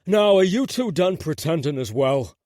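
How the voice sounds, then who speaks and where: A spoiled young woman who whines in a childlike voice, pitched far up and swinging wildly, voiced by a man. The sound is close and dry, with no room around it. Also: very animated, moderate pace, darkish tone, no echo. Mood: indignant. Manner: childlike put-on voice.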